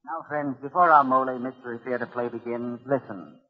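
A dull, lo-fi vintage broadcast of a man introducing radio drama. His voice is mid-pitched, and he narrates in formal, scripted 1940s style. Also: steady tone, brisk, loud music behind the voice. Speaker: host of a radio mystery series.

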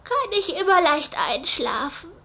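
A woman's voice: very high voice, big pitch swings, unhurried, bright, forceful tone, heavily acted. A woman playing a whiny, dramatic and dreamy teenage schoolgirl in an anime radio play, full of sighs and exclamations. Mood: tired, sulky. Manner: exclaiming, sighing, theatrical.

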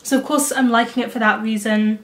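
A woman's voice: on the high side, steady tone, fairly quick. A young woman chatting enthusiastically in a casual reading vlog, filmed indoors at home by a camera facing her. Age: young adult. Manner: chatty and enthusiastic, laughs easily. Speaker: book vlogger. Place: small room at home, indoors.

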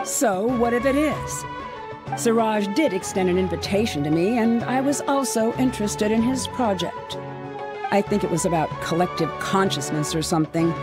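A woman's voice: medium pitch, animated, normal speed, lightly acted. An actress playing a proud, bitter young woman researcher in a game, mocking and scornful, with short dry laughs. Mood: dismissive. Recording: game audio with music.

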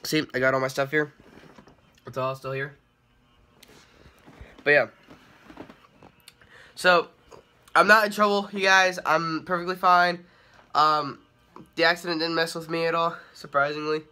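A young man chatting casually to his viewers in a bright, forward voice that sits a little high. He sounds slightly nasal from a cold. He rambles and hesitates, with frequent ums and false starts. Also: a little slow, long pauses. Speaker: vlogger. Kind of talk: casual update vlog.

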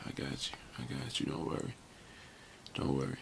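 An American man in his twenties doing a soft-spoken ASMR barber roleplay, murmuring slangy, repetitive reassurances close to the mic. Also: moderate pace. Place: small room indoors. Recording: noisy close mic with handling sounds.

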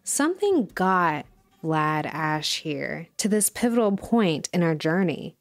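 A woman playing an earnest music documentary podcast host, delivering measured, dramatic scripted narration in an audio drama. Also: fairly low pitch, big pitch swings, no echo, clean studio sound, exaggerated voice. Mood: earnest, intrigued.

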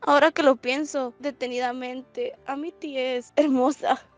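High-pitched, excitable teenage girl, played by a woman. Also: a little slow, soft background music. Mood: defensive. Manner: dramatic, pleading. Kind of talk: fan comic dub.

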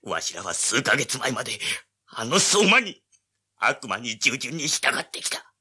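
An actor playing a suspicious, embittered old village man in an audio drama, with husky, menacing rustic speech. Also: mid-range voice, big pitch swings, a little slow, bright, forceful tone, full character voice. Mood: fearful anger.

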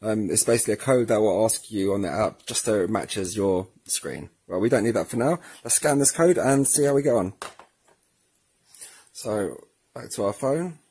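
A man's voice: fairly low pitch, moderate pace, a little mellow, breathy edge. A man presenting a casual how-to demonstration, speaking informally and in a friendly way to viewers. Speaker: presenter of a product tutorial series.

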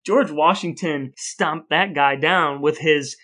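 A man with a slightly high voice hosts a casual podcast. He tells stories with wry humor and a playfully grand turn of phrase, chatting like a comic storyteller. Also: takes it slowly, sharp tone. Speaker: podcast co-host. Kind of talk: casual comedic storytelling chat.

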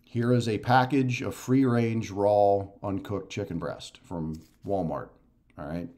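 Guy in his 40s, kinda low voice, casual how-to coach talk at home, keeps checking ur following along. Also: a little slow, dark tone. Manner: repetitive step-by-step explaining with frequent "okay?" and "right?" check-ins. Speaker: fitness and nutrition coach. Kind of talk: casual instructional explainer to camera. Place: living room at home.

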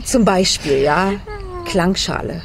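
A woman's voice: on the low side, animated, slow, gravelly, noisy background. A middle-aged woman speaks earnestly as a school social worker, reflecting and now and then searching for her words. She talks outdoors into a handheld mic, with faint ambience behind her. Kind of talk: interview answers. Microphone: handheld mic held by interviewer.

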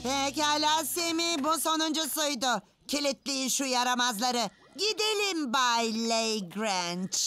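An excitable cartoon boy of ten, brash and greedy, with a very high, animated voice. He is dramatic and draws his words out over background music. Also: a little slow, few pauses, exaggerated voice. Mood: let down. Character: brash ten-year-old boy hero, greedy for treasure. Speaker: cartoon hero. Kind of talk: Turkish cartoon dub acting.

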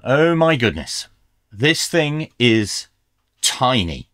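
A man's voice: medium pitch, big pitch swings, drawn-out words, fairly bright tone, no room sound, clean studio sound. A middle-aged man presenting a gear review as a video host, in a small indoor workshop. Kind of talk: scripted product review with hands-on commentary.

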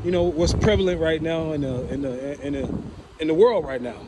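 A man in a casual religious talk while walking outdoors, halting and repeating words as he searches for the next. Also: on the high side. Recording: noisy outdoor recording, wind and engine noise.

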